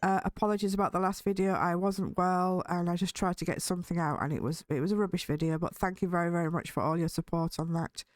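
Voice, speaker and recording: A woman with a slightly hoarse voice, chatting cheerfully and thinking aloud as she hosts a casual game playthrough. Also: fairly low pitch, steady tone, quick pace, hardly any pauses, no room sound, studio quality. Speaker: gaming video host. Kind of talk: casual let's-play commentary.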